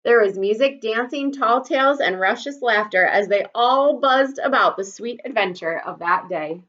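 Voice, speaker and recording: A woman's voice: on the high side, normal speed, fairly bright tone. A woman reading a children's story aloud expressively, like a teacher, in slightly dull audio.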